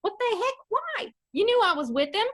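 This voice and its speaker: A woman's voice: very high-pitched, sing-song, normal speed, fairly bright tone, pitched above the performer's own voice, exaggerated voice. A woman playing an easygoing, teasing male babysitter in a comedic radio play. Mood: indignant. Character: easygoing, joking man babysitting a baby.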